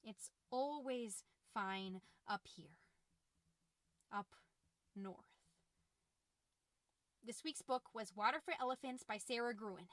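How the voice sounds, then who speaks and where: A woman's voice: a little high, takes it slowly, pauses often, fairly bright tone, dry recording. A woman playing a middle-aged, folksy, fretful suburban mom who hosts a confiding, rambling comedy podcast with anxious over-cheerfulness. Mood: cheery sign-off. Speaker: podcast host.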